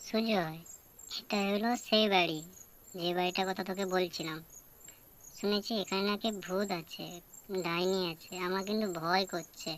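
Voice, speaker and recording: A woman's voice: mid-range voice, steady tone, moderate pace, long pauses, close to an everyday voice. A woman voicing a frightened, reluctant boy in a cartoon story, speaking in an anxious, pleading way. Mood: fearful, pleading. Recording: clearly noisy background.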